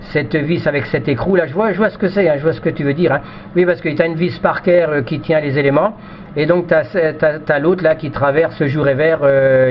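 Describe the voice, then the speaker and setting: A man chatting casually as an amateur radio operator, repeating himself and often ending phrases with "hein", with a two-way radio sound. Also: a little high. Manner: repetitive, tags phrases with "hein". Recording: dull lo-fi radio recording with noise.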